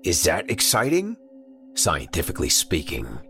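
A man doing a gruff bear detective. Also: low-pitched, wildly swooping pitch, moderate pace, fairly bright tone, soft background music, full character voice. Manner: growling, blunt, threatening. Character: gruff male bear detective, big and hostile.

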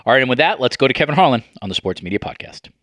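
A man's voice: mid-range voice, big pitch swings, very fast, fairly bright tone, a little hiss or hum. A middle-aged man hosting a podcast in a conversational style, hesitant and trailing off at phrase ends. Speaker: podcast host.

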